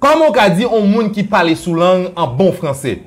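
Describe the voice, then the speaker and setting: A man with a Haitian Creole accent teaching a playful video lesson, often dropping into low asides and jumping high for quoted voices. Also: sing-song, normal speed, soft background music. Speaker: language teacher.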